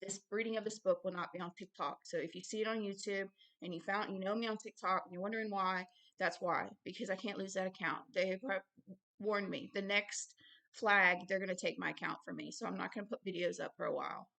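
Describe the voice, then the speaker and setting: A woman's voice: medium pitch, fairly even intonation, fairly quick, creaky, a bit bright, rough recording. A woman giving informal religious commentary while reading aloud from a book, with emphatic repetition and colloquial asides. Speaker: online ministry host reading and commenting on a book.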